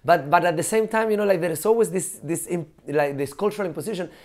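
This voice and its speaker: A high-pitched man, chatting as a podcast guest. He talks in an animated, loose way, full of fillers, laughs and the odd swear word. His voice sits well above the usual male range. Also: fast talker. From Chile. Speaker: guest, professor. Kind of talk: casual podcast conversation.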